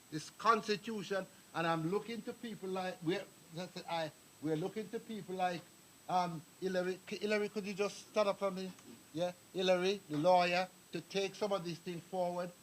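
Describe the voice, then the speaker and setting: A man's voice: sits high, normal speed, slight background noise, poor audio. Older man addressing a meeting. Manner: rambling, anecdotal, addresses the crowd directly. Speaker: speaker at a community meeting. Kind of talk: public address to an audience. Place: meeting hall.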